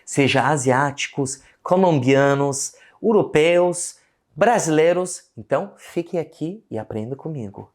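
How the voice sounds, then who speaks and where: Australian man, English teacher, speaks Portuguese with a foreign accent, animated delivery, lesson video. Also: fairly high pitch. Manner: animated, switches between Portuguese and slow English examples. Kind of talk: casual online lesson.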